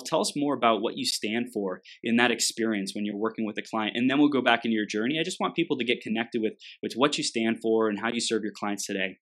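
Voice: mid-range voice, fairly bright tone. Enthusiastic affirming show host. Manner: enthusiastic, affirming, many fillers. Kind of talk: live interview show.

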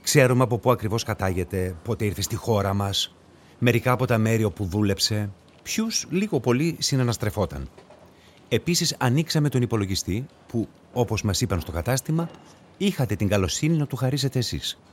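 Actor as a lively, low-voiced male police investigator. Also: slight background noise, natural voice. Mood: firm. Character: male police investigator, patient, methodical, firmly reasoning. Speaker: actor in a radio play. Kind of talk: radio drama acting.

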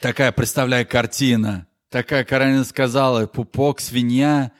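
A man's voice: mid-range voice, steady tone, moderate pace. A young man co-hosting a casual podcast, talking in a jokey, repetitive way. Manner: jokey, repeats himself, mimics sounds and quotes. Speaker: podcast co-host.

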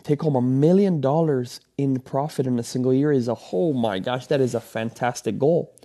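A man talks through his ideas in a rambling, coaching way, stopping often for fillers and restarts. His voice sits at an ordinary pitch, with a dark, mellow tone. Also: moderate pace. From Venezuela. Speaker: podcast host. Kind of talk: solo motivational podcast talk.

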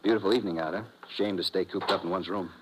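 An actor playing an affable, chatty stranger raised in New York, in a 1950s radio drama. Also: male voice, deep voice, fairly even intonation, fast, lightly acted. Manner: chatty, genial. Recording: noisy old radio recording.

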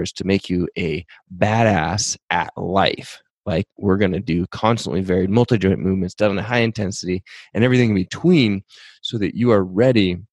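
Hoarse man, upbeat fitness podcast host, lowish voice, dry close sound. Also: no echo. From Pacific Northwest, USA. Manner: upbeat, energetic, pep-talk delivery. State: hoarse, losing his voice. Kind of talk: casual solo podcast talk with sponsor reads.

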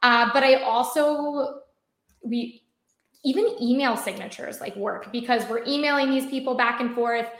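A woman with an American accent explains her work in relaxed, rambling talk, restarting sentences and leaning on "like" and "um". Her voice sits a touch high, and the room gives it a noticeable echo. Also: measured pace. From United States. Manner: chatty, many fillers and restarts. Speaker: marketing guest. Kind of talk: conversational interview. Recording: roomy echoey sound.

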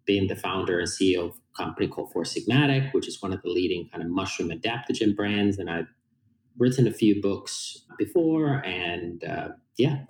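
A man's voice: low voice, darkish tone, echoey room, rough recording. A man in his forties with a Finnish accent, a guest explaining his background earnestly with frequent fillers. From Finland. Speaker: guest, company founder. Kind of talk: casual interview talk.

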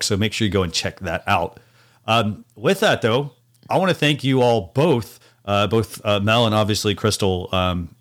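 A man's voice: fairly low pitch, fairly bright tone. Casual man hosting a podcast. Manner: casual, filler-heavy, advice-giving. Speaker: podcast host.

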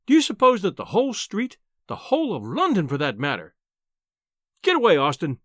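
An audiobook wife in a furious temper, acted out by a man. She is small and shrill, pitched high with wildly swinging intonation. She scolds and wails with loud indignation. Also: wildly swooping pitch, takes it slowly, dry recording, studio quality, heavily acted. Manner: screaming, wailing, indignant tirades. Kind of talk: audiobook character acting.

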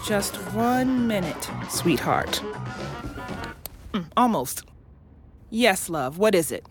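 A loving, thoughtful mother in an audio drama. She speaks slowly and patiently with a very expressive pitch, choosing her words with gentle hesitation. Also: music in the background. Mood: fond, distracted. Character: loving, patient academic mother of young son.

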